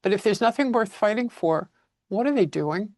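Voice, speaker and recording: A woman's voice: mid-range voice, lively intonation, moderate pace, a little mellow, no room sound, studio quality. Direct woman interviewer in her sixties. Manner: direct, conversational questioning. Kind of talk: webinar interview. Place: studio with logo backdrop, seated. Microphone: lapel mic.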